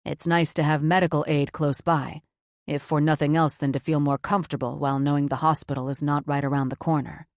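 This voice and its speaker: A woman voicing a tender, remorseful man, a doctor, speaking low and earnestly. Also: level tone, brisk, no room sound, studio quality.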